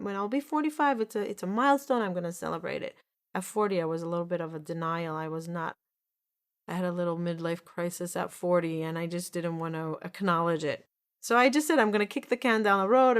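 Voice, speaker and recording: Woman, 40s, solo podcast host; earnest storyteller, mid pitch, repeats herself, frequent restarts. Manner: earnest, repetitive, restarts often. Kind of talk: personal storytelling monologue.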